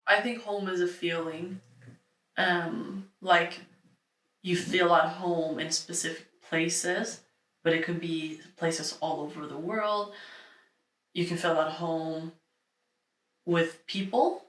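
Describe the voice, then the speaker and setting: A man from Sweden reflects slowly and thoughtfully on his answers. His voice is high and bright. He sounds distant, in an echoey room. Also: fairly even intonation. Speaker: interviewee.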